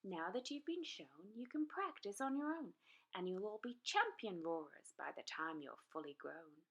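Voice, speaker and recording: A woman's voice: medium pitch, animated, normal speed, fairly bright tone, slightly rough. A woman voicing a bossy, grand female dragon schoolteacher, emphatic and encouraging, in a children's storybook. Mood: commanding. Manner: commanding, emphatic, theatrical. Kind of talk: children's storybook read-aloud.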